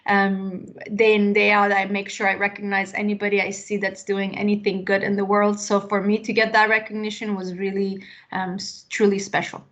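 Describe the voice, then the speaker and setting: A woman's voice: medium pitch, completely flat delivery, a bit bright. Reflective woman in her late forties. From Iran. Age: 40s. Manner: reflective, fills pauses with "you know" and "um". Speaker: interview guest, tech executive. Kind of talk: casual conversational interview.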